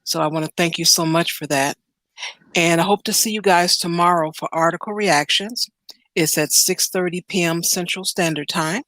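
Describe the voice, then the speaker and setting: A woman hosting a livestream, with a somewhat low voice. She speaks in an upbeat, friendly way as she thanks her viewers. The sound is dry and close to the mic. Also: normal speed, fairly bright tone, no room sound. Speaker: livestream host. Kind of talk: casual livestream sign-off.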